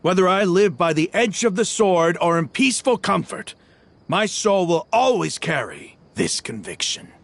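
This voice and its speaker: A man's voice: high voice, very animated, normal speed, vocal fry, exaggerated voice. A man voicing a smug, zealous male desert mercenary, in a game. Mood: proud. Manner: smug, mocking.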